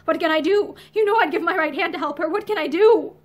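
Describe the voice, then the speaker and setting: A woman voicing a tormented, guilt-ridden man in a story, with a strained, agitated, halting delivery and a quick temper. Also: sits high, a bit bright. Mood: despairing.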